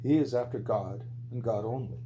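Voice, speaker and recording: A man reading a spiritual book aloud as scripted audiobook narration, with a mellow voice. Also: normal speed, poor audio. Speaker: audiobook reader. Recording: low hum and background noise.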